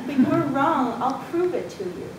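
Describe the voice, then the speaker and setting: A woman's voice: lively intonation, unhurried. A woman playing a strict, exasperated schoolteacher in an amateur readers' theatre piece, firm and corrective. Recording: distant, very echoey room, noisy with rumble.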